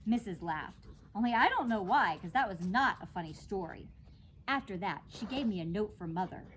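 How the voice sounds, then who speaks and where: Animated woman reading a storybook, noisy recording. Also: mid-range voice, moderate pace. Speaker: storytime reader. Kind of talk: animated children's book read-aloud.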